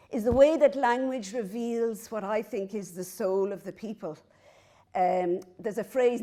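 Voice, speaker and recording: A middle-aged Irish woman gives a university lecture, her voice mellow and soft-edged. She speaks earnestly, with small self-deprecating laughs between her points. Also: mid-range voice. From Ireland. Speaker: professor giving a lecture. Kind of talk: public lecture. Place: lecture hall.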